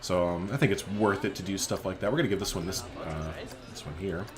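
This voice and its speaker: A man's voice: sits low, fast, music in the background. A man giving casual, chatty gameplay commentary as a let's play host, thinking aloud informally as he goes.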